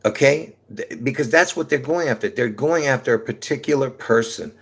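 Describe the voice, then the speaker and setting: Man, New York accent, livestream host, rambling monologue, repetitive, lots of fillers and restarts, middle pitch. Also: expressive, moderate pace, gravelly, slightly rough. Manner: repetitive, restarts often, many fillers, calls listeners folks.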